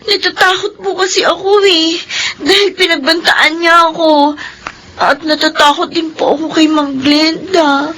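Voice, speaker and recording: An actress playing a timid young Filipino housemaid, meek and pleading, in a radio drama. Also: female voice, very high voice, steady tone, measured pace, sharp tone, a little hiss or hum, exaggerated voice. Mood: tearful, afraid.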